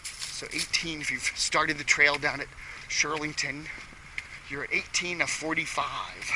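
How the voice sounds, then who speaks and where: A rambling, chatty man narrating his hike, his voice a bit raspy from a recent cold. His voice sits a touch high for a man. Loud outdoor noise runs beneath him on a camera mic. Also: a little slow. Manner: chatty, rambling tour-guide asides. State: slightly hoarse from a cold. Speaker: hiking vlogger. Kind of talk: casual walking narration. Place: outdoors on a paved trail.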